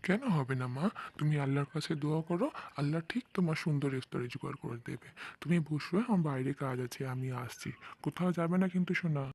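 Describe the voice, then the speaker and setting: A man voicing a gentle, devout father in cartoon dialogue, with some noise in the recording. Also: fairly high pitch, fast. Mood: reassuring. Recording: faint background noise.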